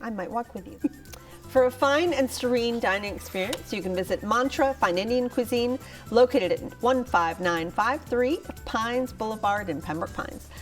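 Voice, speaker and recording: A woman hosting a restaurant review show, moving her guests along with short, brisk prompts. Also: a little high, soft background music. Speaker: host.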